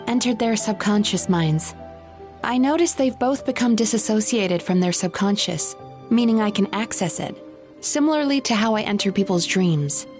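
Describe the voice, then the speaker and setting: An actress playing a composed woman who enters dreams, explaining in a calm, measured way. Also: mid-range voice, soft background music, close to an everyday voice.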